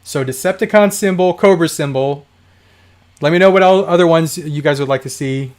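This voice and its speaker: A man talking off the cuff on a home livestream, his voice hoarse and dry. His pitch sits in an ordinary middle range. He rambles cheerfully, laughs along as he goes, and reads viewer comments aloud. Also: normal speed, a little mellow. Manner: chatty, rambling, laughs often. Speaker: livestream host. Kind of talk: casual live chat reading viewer comments.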